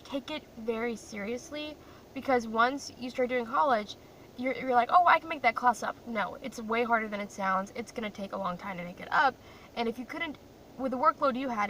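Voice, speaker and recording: A woman's voice: on the high side, pushed and bright. A young woman who grew up in Croatia, chatting casually and rambling to her phone camera in a parked car, often poking fun at herself. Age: young adult. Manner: chatty, rambling, self-deprecating. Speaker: vlogger. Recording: distant mic with faint car noise.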